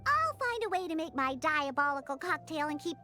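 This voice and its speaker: A proud, pouty little cat-girl from a video game. The voice is tiny and very high, and it bounces animatedly through indignant, emphatic phrases. Music plays softly underneath. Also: sing-song, a little fast, full character voice. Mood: indignant, scheming. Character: feisty, proud little cat-girl bartender who hates alcohol.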